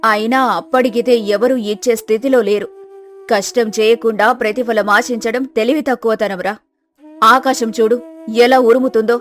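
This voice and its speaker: A woman voicing a stern, fed-up old village grandmother who scolds emphatically in an animated moral story. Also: fairly high pitch, normal speed, soft background music, lightly acted. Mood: scolding. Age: older. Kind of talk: acted moral story dialogue.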